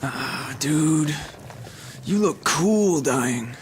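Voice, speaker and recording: A man playing a vain, dramatic TV monster hunter, gravelly and earnestly self-serious. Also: fairly high pitch, animated, extremely slow, slight background noise. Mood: melodramatic. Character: vain, dramatic demon-hunting TV brother, male. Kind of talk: parody of supernatural TV drama.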